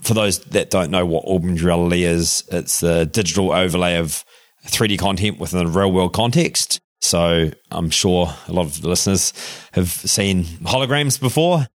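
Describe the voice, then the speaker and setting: Man, New Zealand accent, forties, deep voice, relaxed guest storytelling, dry sound. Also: normal speed, dry recording. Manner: relaxed, chatty, with many fillers and asides. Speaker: guest, tech founder. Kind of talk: casual storytelling interview.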